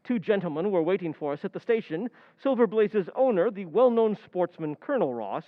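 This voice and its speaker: Guy as a genteel radio drama narrator, eager storyteller, very up and down pitch, muffled lo-fi recording. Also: a little fast, no room sound. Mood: narrating. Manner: formal, expressive storytelling. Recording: dull lo-fi sound, faint noise.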